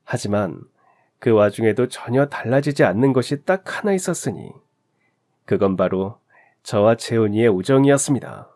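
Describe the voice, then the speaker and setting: A man narrating a personal story as a radio drama, in a measured, emotive storytelling manner. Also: medium pitch, darkish tone.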